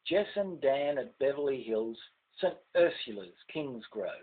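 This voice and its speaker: A man's voice: mid-range voice, animated, measured pace, narrow, tinny audio. An older man with an Australian accent reciting rhymed ballad verse with theatrical, emphatic phrasing. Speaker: amateur poet reading own verse.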